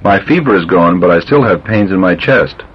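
A man reading English drill sentences for a language tape, enunciating slowly and deliberately. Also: low-pitched, expressive, breathy edge. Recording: dull old language tape.

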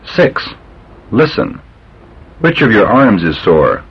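A man reading English drill sentences for a language tape, enunciating slowly and deliberately. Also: on the low side, wildly swooping pitch, vocal fry, slightly rough. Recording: dull old language tape.

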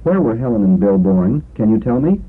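A man slowly and carefully reading drill sentences for a language lesson. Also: fairly low pitch, very animated, slightly rough, phone-quality sound.

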